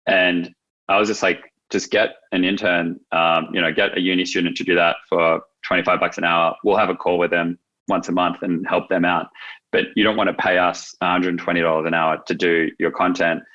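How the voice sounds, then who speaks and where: A man's voice: deep voice, level tone, moderate pace, pushed and bright, muffled recording. A man speaking as an interview guest, explaining his business in an informal, wordy, conversational way. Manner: informal, wordy explaining with frequent "like" and "you know". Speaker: business founder, podcast guest.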